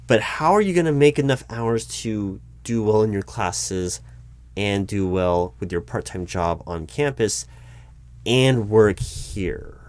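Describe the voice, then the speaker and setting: Man playing a low-voiced, hesitant hiring manager. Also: lively intonation, slow, a little mellow. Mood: skeptical, probing. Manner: hesitant, stammering, diplomatic. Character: polite, awkwardly tactful male corporate hiring manager. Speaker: recruiting manager conducting a job interview. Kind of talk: one-sided ASMR roleplay, spoken aloud.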